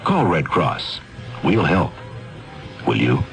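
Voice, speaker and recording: A man's voice: rumbling low, big pitch swings, takes it slowly, vocal fry, fairly bright tone, soft background music. A man reading an earnest, scripted public service announcement on 1970s radio.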